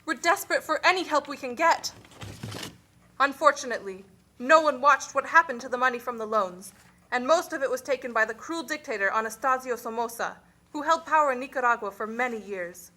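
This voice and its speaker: An earnest Nicaraguan explainer played by a woman lays out hardship in scripted puppet-show lines. Her voice is high and bright.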